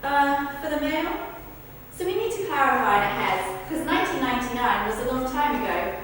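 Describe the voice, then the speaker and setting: A woman's voice: sits high, animated, a little fast, fairly bright tone. A woman performing a conversational verbatim theatre monologue, recorded from a distance in an echoey rehearsal room. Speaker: stage performer. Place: large reverberant indoor rehearsal room. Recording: distant, echoey hall with background noise.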